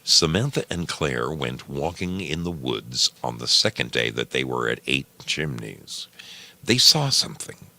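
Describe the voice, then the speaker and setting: Very deep, slow, gravelly male storyteller. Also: sing-song, bright, forceful tone. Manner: slow, deliberate, measured delivery. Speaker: storyteller reading short fiction aloud. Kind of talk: scripted story narration.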